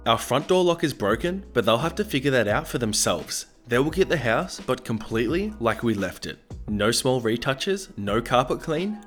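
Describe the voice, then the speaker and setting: Man, slightly raspy from being sick, reddit story narrator, faint music behind. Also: medium pitch, a bit bright. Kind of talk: reading reddit stories aloud. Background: faint background music.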